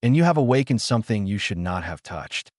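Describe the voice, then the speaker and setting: A godlike alien watcher acted by a man speaks in solemn, portentous warnings in a space drama. The intonation is animated and the recording dry. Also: on the low side, a little fast, no room sound, lightly acted. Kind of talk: sci-fi audiodrama acting.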